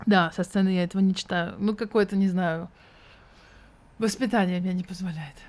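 A woman's voice: on the low side, steady tone, a little fast, a little mellow. Frank, thoughtful woman in interview. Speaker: interview guest, poet. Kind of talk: candid interview conversation.

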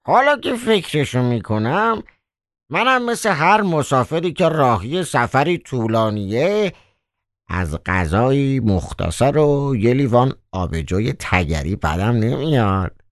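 A man's voice: mid-range voice, big pitch swings, dry recording, studio quality, voice pushed up. A man voicing a theatrical, mock-polite male trickster in an audiobook, drawling "ah" before his phrases. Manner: drawn-out, hesitant "ah" before phrases. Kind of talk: audiobook character voice.

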